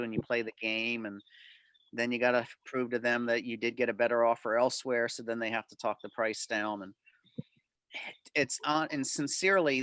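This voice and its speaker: A man's voice: medium pitch, a bit bright, clean tone, dry recording. A man answering club members' questions informally on a video call, explaining things in detail.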